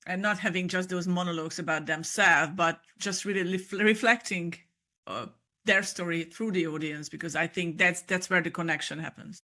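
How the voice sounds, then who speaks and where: Bright Hungarian-accented woman, earnest. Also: fairly low pitch, fairly even intonation, moderate pace. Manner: earnest, encouraging, some restarts. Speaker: podcast guest. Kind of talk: casual interview chat.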